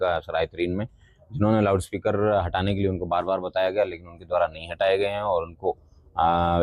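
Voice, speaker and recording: Low, dry-sounding male official. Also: darkish tone, no room sound. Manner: halting, bureaucratic. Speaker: government or police official. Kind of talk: official statement to press.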